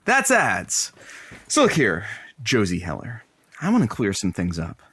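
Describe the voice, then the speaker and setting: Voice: mid-range voice, wildly swooping pitch, a little slow, fairly bright tone, a little hiss or hum. An actor playing a sardonic, combative co-host who needles a partner with wry, challenging banter. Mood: brisk, confrontational. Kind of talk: comedic audio drama banter.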